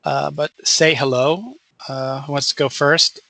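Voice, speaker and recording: A man's voice: on the high side, fairly even intonation, unhurried, gravelly, sharp tone, no echo. A man hosting a casual radio interview, chatty and rambling, often correcting himself mid-sentence. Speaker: radio show host.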